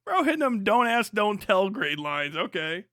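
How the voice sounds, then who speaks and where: High-voiced man riffing, bright and profane. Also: sing-song, normal speed, dry recording, studio quality. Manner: profane, sarcastic, joking. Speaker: live streamer. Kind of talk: casual reaction commentary with riffing.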